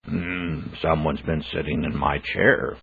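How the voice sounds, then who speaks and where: Man as a very deep, husky papa bear. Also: fairly even intonation, takes it slowly, exaggerated voice. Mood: puzzled. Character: deep, puzzled grown-up father bear. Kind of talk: storybook character voice. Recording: phone-like, narrow band sound.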